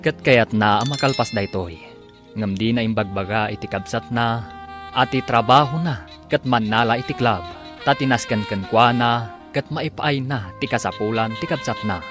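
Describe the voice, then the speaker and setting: A man's voice: mid-range voice, moderate pace, over music. A man with an Ilocano accent announcing and narrating a scripted radio drama.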